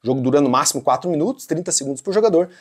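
A woman's voice: sits low, animated, very fast, darkish tone, breathy edge. A Brazilian woman presenting a board game tutorial and review in an informal, conversational way, often checking in with short tags. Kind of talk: casual explanatory tutorial and review.

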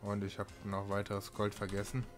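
Guy with a lowish voice doing a chill let's play, talking to himself as he goes, faint music behind. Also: monotone, normal speed. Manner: thinking aloud while playing. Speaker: gaming video host. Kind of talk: casual let's-play commentary.

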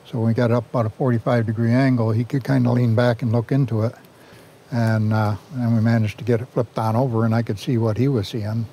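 Older guy showing off his garden outside, folksy and slow, mellow low voice, faint outdoor hum. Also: little pitch movement. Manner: folksy, unhurried, chuckles at own jokes. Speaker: garden owner giving a tour. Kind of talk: casual storytelling tour.

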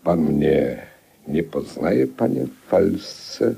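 An actor playing a ponderous, formal police agent with halting speech in a 1950s Polish radio comedy. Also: male voice, deep voice, very animated, creaky, mellow, slightly rough, full character voice. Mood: stolid, formal. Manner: halting, deliberate.

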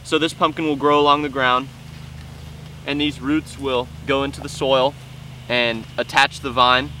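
A man's voice: medium pitch, little pitch movement, unhurried, sharp tone, slight background noise. Young man teaching outdoors in a farm field. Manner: enthusiastic, explains step by step while handling plants. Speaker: farm educator. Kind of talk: outdoor teaching walk-through. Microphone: lapel mic.

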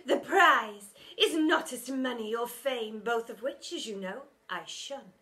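A woman's voice: on the high side, a little slow, sharp tone. A woman voicing a vain, pompous female art teacher who drags out her words and mangles them with made-up endings. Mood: grandiose. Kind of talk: storybook character voice.

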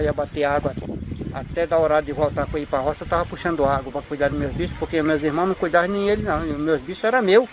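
A man's voice: a little high, background noise. A man, chatting outdoors about his life and stumbling over words. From Pará, Brazil. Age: 60s. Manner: rambling, storytelling, stumbles on words. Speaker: fishing-spot worker being interviewed. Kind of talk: casual chat. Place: outdoors by a river, sitting at a wooden table. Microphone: camera on the table in front of him.

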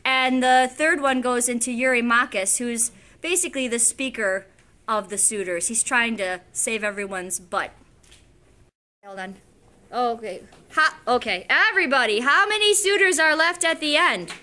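American lady teacher, high bright voice, talking to her class in a schoolroom. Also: animated, normal speed. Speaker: English teacher. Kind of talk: classroom lesson with reading aloud. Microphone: camera a few feet away.

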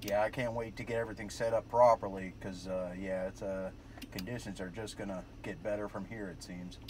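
A man's voice: fairly low pitch, fairly even intonation, a little fast, a little mellow. A man talking casually as a radio operator, rambling through jargon, recorded on a cell phone. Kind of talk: casual radio contact chat. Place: vehicle parked at night. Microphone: cell phone held near the radio. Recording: cell phone video with clear background noise.

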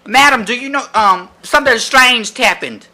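Indignant, confrontational big lady played by a man, bright forceful voice, for comic prank phone calls. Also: extremely high pitch, exaggerated voice, rough recording. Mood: mock courteous. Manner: brash, confrontational, drawn-out insistent phrasing. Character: loud, sassy, indignant big woman complaining to shops. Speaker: prank caller. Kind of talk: improvised comic prank call. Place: living room at home.

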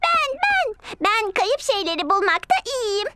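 A woman's voice: very high voice, sing-song, a little slow, no room sound, full character voice. A woman voicing a bossy, cheerful little girl piglet in a cartoon who talks with eager childish bounce. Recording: cartoon with loud music behind.

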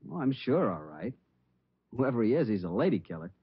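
Eager slangy counterman, husky, swooping pitch, muffled old radio drama sound. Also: sing-song, brisk. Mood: chatty, eager. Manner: folksy, slangy, rambling. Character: chatty, eager donut shop counterman. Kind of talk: 1950s radio drama acting.

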